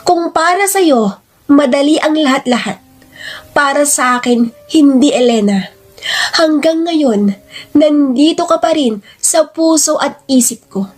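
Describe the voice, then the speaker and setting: A woman's voice: high voice, expressive, a little slow, pitched above the performer's own voice. A woman voicing a lovesick single man, a pushy former boyfriend pleading emotionally in a radio-style story drama. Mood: insistent, hurt.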